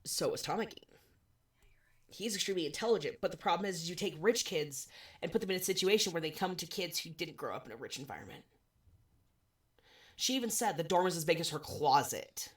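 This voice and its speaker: A woman in her twenties co-hosting a podcast, chatting casually with animated, swearing asides. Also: lowish voice, a little fast, pauses often. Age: 20s. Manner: animated, swearing, self-deprecating. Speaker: podcast co-host. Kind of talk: casual chat.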